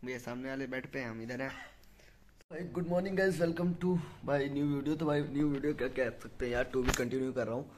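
A man's voice: mid-range voice, fairly quick, darkish tone, slight background noise. A young man chatting casually and informally to viewers as a travel vlogger, using slang, on a handheld phone. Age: young adult. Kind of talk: casual vlog chat to viewers. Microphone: phone held at arm's length.

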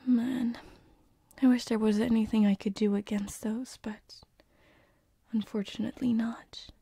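A woman playing a gentle, teasing sleep paralysis demon in a whispered ASMR roleplay, close on a binaural microphone, with a breathy, hesitant delivery. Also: on the high side, fairly even intonation, no echo, heavily acted. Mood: sympathetic, soothing. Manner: slow, soothing, hesitant, teasing.

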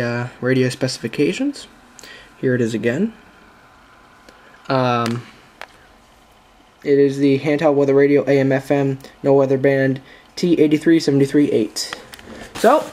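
A man hosting a casual unboxing vlog in a halting, informal way, recorded indoors on a handheld camera's built-in microphone. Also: medium pitch, darkish tone, slight background noise. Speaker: video host.

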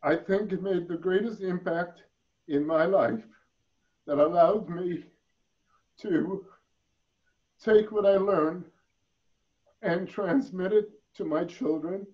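An older man raised in the Philippines, answering interview questions over a video call from his home, pausing and repeating words as he thinks. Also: high voice, dark tone. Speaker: interview guest. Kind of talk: reflective online interview. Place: home room. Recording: muffled video call audio.

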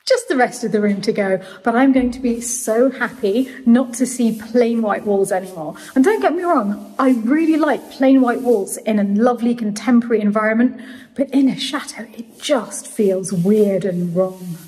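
Chatty woman, slightly high voice. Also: moderate pace, dark tone, poor audio. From England. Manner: chatty, enthusiastic, teasing. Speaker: vlog host. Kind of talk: casual vlog narration. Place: large indoor bathroom. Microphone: handheld phone camera at arm's length.

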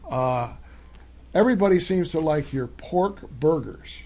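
A man's voice: on the high side, expressive, slow pace, darkish tone. An older man hosting a cooking talk show, chatting enthusiastically with guests and rambling through restarts. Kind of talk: casual chat. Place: studio set, seated on leather couch.

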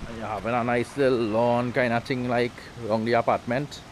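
A man's voice: medium pitch, brisk. Trinidadian man with surf noise behind. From Trinidad and Tobago. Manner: relaxed, chatty, Creole phrasing. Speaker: vlogger. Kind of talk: casual outdoor vlog narration. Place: outdoors by the sea, windy. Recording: wind and surf noise on a camera mic.

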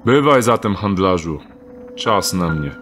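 A man's voice: animated, unhurried, fairly bright tone. An actor playing a weary male thief in a radio drama, speaking curtly and dryly. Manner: curt, dry, low-key. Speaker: thief Dieter. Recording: radio drama with music behind.

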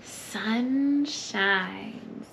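A woman in her thirties hosting a children's story time, reading and singing expressively with hushed, breathy asides. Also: on the high side, very slow. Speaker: library story time host. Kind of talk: children's story time read-aloud and sing-along. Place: children's library room, indoors. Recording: noticeable background room noise.

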